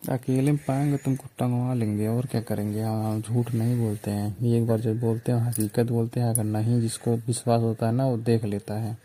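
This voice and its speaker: Menacing, insistent male suitor, played by a man for a phone drama; eastern Hindi-belt accent, flat delivery, very mellow dark tone. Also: mid-range voice, a little fast, hardly any pauses, lightly acted. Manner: insistent, colloquial, domineering. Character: pushy, menacing male suitor making threats.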